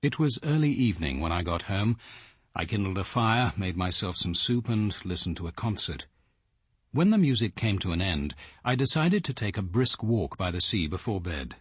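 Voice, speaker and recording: An actor playing a grave, reflective detective, narrating in a measured, literary way in a radio drama. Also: male voice, low-pitched, big pitch swings, breathy edge, no echo, heavily acted. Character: grave, reflective male police detective recounting his evening.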